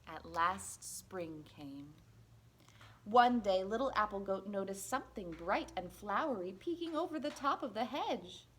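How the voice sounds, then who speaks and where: A woman reading a children's picture book aloud in an expressive, deliberate, playful storytelling manner. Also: mid-range voice, a little hiss or hum. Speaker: storybook reader at a library.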